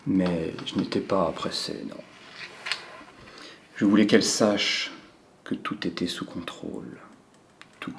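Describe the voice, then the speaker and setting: A man performing a theatrical monologue as a cold, controlling psychopathic killer who savors his confession in a hushed, deliberate way. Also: sits low, fairly even intonation, a little hiss or hum. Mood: savoring, sinister. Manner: slow, hushed, deliberate delivery with drawn-out pauses. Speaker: acting student performing a monologue.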